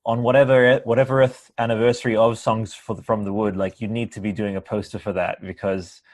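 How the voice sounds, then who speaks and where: A man's voice: lowish voice, flat delivery, fairly quick. A man hosting a casual interview, rambling and talking in metaphors. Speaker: podcast host.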